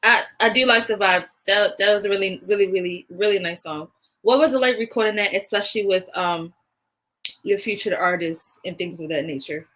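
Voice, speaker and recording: A woman's voice: mid-range voice, brisk, a bit bright. A woman in her twenties hosting a podcast, asking questions in casual, playful banter with some teasing. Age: 20s. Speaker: podcast host. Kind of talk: casual interview chat.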